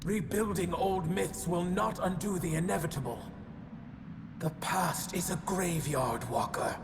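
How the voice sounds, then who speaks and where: An actress playing an ancient, solemn female giant in a game cutscene, stubborn and wise, speaking in measured, portentous phrases with cavernous reverb. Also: lowish voice, over music. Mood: ominous warning. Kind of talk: dramatic game cutscene monologue. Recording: long cavernous reverb, noisy ambience.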